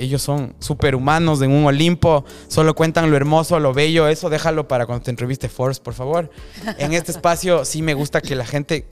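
A man from Ecuador, hosting a podcast interview in a candid, talkative, reflective way. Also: a little high. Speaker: podcast host. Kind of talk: casual interview chat.

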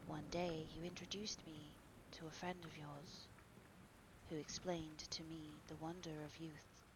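A woman voicing a bitter, vain young man in a dramatic audiobook, in a hushed murmur that nears a whisper. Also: sits low, flat delivery, measured pace, background noise. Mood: quiet, wistful. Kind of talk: dramatic audiobook character reading.

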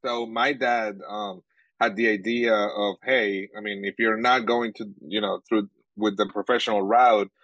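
Venezuelan guy, young adult, native Spanish speaker, low-ish voice, dry close mic, halting and filler-heavy. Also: fairly even intonation, a bit bright, no echo, muffled recording. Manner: halting, many fillers and restarts. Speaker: sports journalist guest. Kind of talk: casual interview answers.

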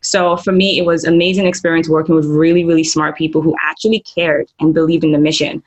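Low-voiced woman from Nigeria. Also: little pitch movement, quick pace, highs cut off. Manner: reflective, many fillers like "um" and "like". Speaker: interview guest. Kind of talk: casual interview conversation.

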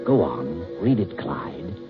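An actor playing a knowing, wry storyteller who narrates a 1940s radio drama. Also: male voice, very deep voice, very animated, slow pace, over music, exaggerated voice. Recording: dull, muffled old radio recording.

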